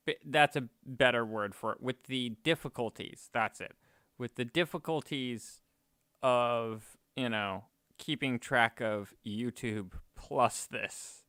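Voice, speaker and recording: A man's voice: medium pitch, bright, forceful tone, clear, resonant tone, no room sound, clean studio sound. A man streaming a game and chatting casually, rambling and correcting himself with small laughs. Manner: rambling, self-correcting, chuckling. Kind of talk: casual chat while gaming.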